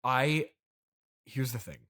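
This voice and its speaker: A man's voice: mid-range voice, animated, slow pace, pushed and bright. A man playing a patient, exasperated billionaire father hosting a comedy podcast interview, placating and hesitant. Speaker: podcast host.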